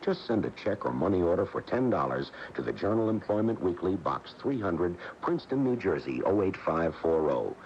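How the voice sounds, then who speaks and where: A man's voice: low voice, sing-song, normal speed, few pauses, a little mellow. An American man announcing a scripted radio commercial. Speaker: advertising announcer. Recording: dull radio broadcast with faint noise.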